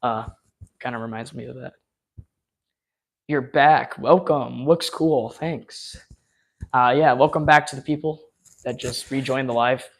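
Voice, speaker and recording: A man chatting loosely through a live unboxing, his voice going hoarse from hours of talk. He rambles along with plenty of ums and uhs in a mid-pitched, everyday tone. His enthusiasm carries through the fillers. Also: steady tone, unhurried, pauses often. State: losing his voice. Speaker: live-stream host. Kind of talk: casual live unboxing chat.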